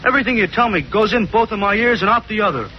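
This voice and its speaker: An actor playing a slow-witted, good-natured teenage schoolboy in a 1940s radio sitcom, guileless and dopey. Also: male voice, high voice, lightly acted. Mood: muddled, glum. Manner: dopey, guileless, unschooled grammar. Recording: noisy muffled old radio recording.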